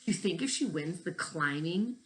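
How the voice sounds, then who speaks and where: A woman's voice: lowish voice, lively intonation, moderate pace, rough recording. A woman teacher reading a picture book aloud expressively, stressing key words and putting questions to young listeners. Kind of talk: storybook read-aloud with questions to children.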